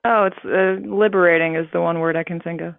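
A woman's voice: on the low side, fairly even intonation, brisk, gravelly, no echo. Woman, first language Russian, voice actor, chatty, many fillers, dry, phone-like sound. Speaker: voice actor and audiobook narrator. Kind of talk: casual interview chat. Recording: tinny phone-like line.

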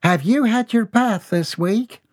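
A man voicing a fussy farm wife and mother, shrill and scolding. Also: measured pace.

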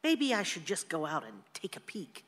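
A woman's voice: lowish voice, big pitch swings, normal speed, a bit bright. A fretful older mother in a radio play. She sounds homely and restless. A long hall reverb trails the voice. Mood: worried.

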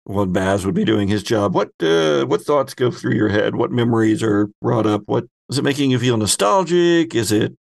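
A man narrating a tabletop roleplaying game as game master, often prompting the players with halting questions. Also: mid-range voice, expressive, vocal fry, dry recording.

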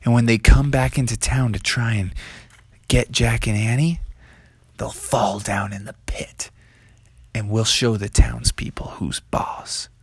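A man's voice: sits high, wildly swooping pitch, a little slow, fairly bright tone, no room sound, voice pushed up, heavily acted. A man voicing a brave, cheerful talking cowboy frog who boasts he will save the town. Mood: whispering.

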